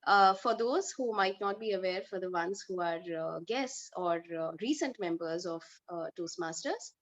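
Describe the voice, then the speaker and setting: A woman running a speaking segment at an online club meeting, in a measured way with many filler uhs. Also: on the low side. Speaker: table topics master. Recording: dull lo-fi online call audio.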